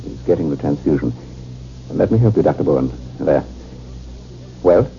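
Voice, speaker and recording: A man in a 1950s radio drama, playing a calm, commanding military surgeon who gives clipped instructions. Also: extremely low pitch, moderate pace. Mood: terse, focused. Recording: muffled, noisy 1950s radio recording.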